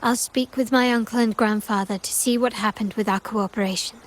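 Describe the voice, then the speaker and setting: A woman's voice: fairly high pitch, steady tone, a little fast, lightly acted. An actress voicing a courteous, composed young noblewoman fighter in a video game. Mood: earnest.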